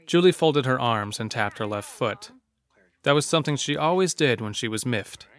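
A man's voice: mid-range voice, lively intonation, normal speed, a bit bright. A man reading scripted narration for a horror story as its narrator. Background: faint music.

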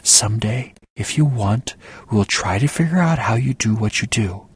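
A man voicing a calm, gentle, motherly woman who speaks softly and soothingly. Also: lowish voice, moderate pace, breathy edge. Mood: reassuring. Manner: soft, soothing, near-whispered delivery.

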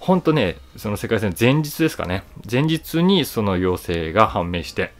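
A man in his forties talks casually to camera in a low voice. His intonation moves around a lot as he explains things conversationally, leaning on key words for emphasis. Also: very animated, normal speed. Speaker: voice actor and YouTube host. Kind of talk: casual solo commentary to camera.

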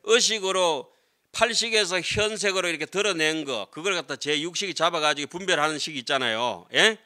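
Very bright-voiced middle-aged monk lecturing. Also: male voice, fairly high pitch, a little fast. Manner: conversational, explanatory, frequent tag questions to listeners. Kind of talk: classroom lecture on Buddhist scripture. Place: lecture room with seated audience, indoors. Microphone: desk gooseneck microphones.

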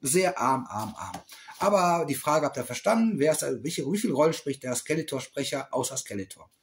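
A middle-aged man talks close to a webcam in a small room, rambling and restarting as he reads out quiz questions. His voice sits a little high for a man and swings widely up and down. He teases and backtracks often. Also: lively intonation, normal speed. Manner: rambling, self-correcting, teasing. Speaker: toy collector vlogger. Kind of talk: casual quiz vlog.

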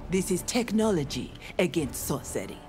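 A lowish Nigerian-accented young warrior woman speaks with formal, firm defiance. She is a proud, righteous armored ninja in a fighting game, with faint music behind. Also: big pitch swings, moderate pace, a little mellow. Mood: defiant. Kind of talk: video game fight dialogue.

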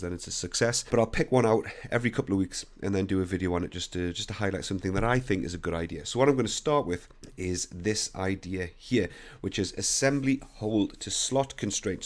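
A young man with a croaky voice, bluntly talking through a CAD software screen recording. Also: low-pitched. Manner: blunt, opinionated, conversational. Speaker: CAD software tutorial host.

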